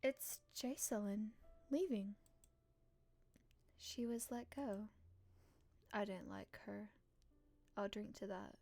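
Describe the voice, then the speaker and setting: A woman's voice: medium pitch, takes it slowly, creaky, pauses often, darkish tone, faint music behind. A woman streamer reading a visual novel aloud and reacting casually as she goes.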